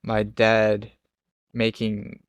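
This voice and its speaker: Young man, relaxed casual talker, close dry sound. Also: lowish voice, drawn-out words, clean tone, no room sound. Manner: easygoing, laughs easily. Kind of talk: casual chat.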